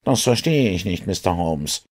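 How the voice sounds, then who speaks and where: A man's voice: deep voice, lively intonation, normal speed, a little mellow. A man voicing an earnest, sceptical police inspector with a questioning, insistent manner in an audiobook. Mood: puzzled, probing.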